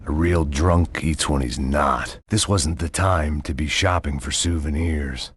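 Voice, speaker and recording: A gravelly, sardonic middle-aged bodyguard from a noir video game, tired of it all and wisecracking through the gunfire. The voice is very low and husky, close and dry, with no room around it. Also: male voice, a little slow, no echo, heavily acted. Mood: weary sardonic. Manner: dry wisecracks, bleak inner monologue. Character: world-weary middle-aged male bodyguard, ex-cop.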